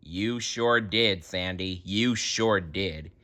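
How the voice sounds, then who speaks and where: A male storyteller with a low, bright voice reads a children's tale aloud. He is expressive and takes his time, dropping in wry asides. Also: slow.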